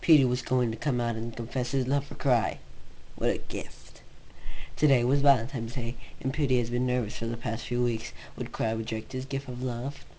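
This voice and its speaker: A man's voice: mid-range voice, warm tone. Man, amateur story reader, dramatic fan fiction narration, slightly noisy home recording.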